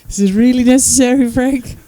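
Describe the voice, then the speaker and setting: Loud-voiced woman co-host. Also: on the high side, steady tone, a little slow, poor audio. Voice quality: loud, carrying voice. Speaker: co-host reading viewer questions. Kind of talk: informal live broadcast.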